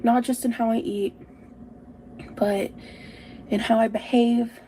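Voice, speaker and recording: A woman vlogger talking confessionally about herself in a halting, introspective way. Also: a little high, level tone, warm tone, slight background noise, rough recording. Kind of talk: confessional personal talk.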